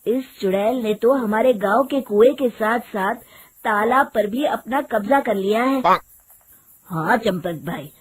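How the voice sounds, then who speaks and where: A woman's voice: mid-range voice, a little mellow, natural voice. A woman voicing an anxious male village farmer in a cartoon story, talking in alarmed exclamations. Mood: worried.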